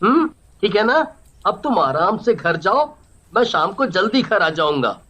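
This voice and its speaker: A man playing a concerned young husband, gentle but reproachful, with an earnest chiding manner. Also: high-pitched, big pitch swings, unhurried, heavily acted. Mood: caring, chiding.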